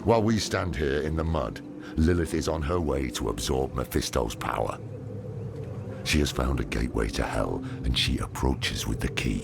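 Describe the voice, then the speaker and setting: An actor playing a stern, gruff older male scholar in a game cutscene, with a gravelly voice. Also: extremely low pitch, animated, normal speed, noisy background, full character voice. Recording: loud game score behind.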